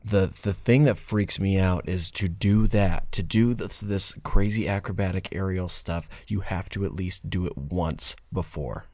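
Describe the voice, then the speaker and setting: A man in his twenties co-hosting a podcast, talking loosely and haltingly with lots of fillers. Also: low voice, a little mellow, no echo. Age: 20s. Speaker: podcast co-host. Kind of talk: casual chat.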